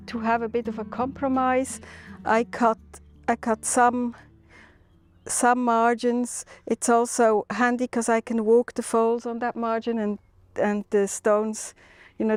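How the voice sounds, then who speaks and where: Middle-aged woman from Switzerland, fairly high voice, calm and reflective, recorded outdoors with soft music under. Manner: thoughtful, unhurried, with small restarts. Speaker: stud farmer. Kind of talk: documentary interview. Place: outdoors on a farm.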